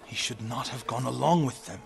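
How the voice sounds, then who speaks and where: An actor playing an earnest warrior monk, grieving yet resolute, speaking in a solemn, measured, intense way for a video game drama. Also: male voice, medium pitch, gravelly, a little hiss or hum, close to an everyday voice. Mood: bitter, earnest. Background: game music.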